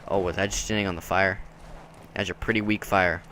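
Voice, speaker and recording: A man's voice: low voice, level tone, brisk, a bit bright, slight background noise. A man giving casual commentary while playing through a video game.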